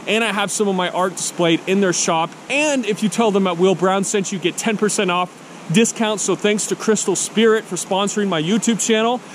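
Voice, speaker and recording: A young man vlogging outdoors on a forest riverbank, talking eagerly to a handheld camera about the rocks he finds. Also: sits high. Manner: enthusiastic, chatty, talks to camera and his dog. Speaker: vlogger, rockhound. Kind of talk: casual outdoor vlog narration. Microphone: action camera held at arm's length. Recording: noisy outdoor camera audio.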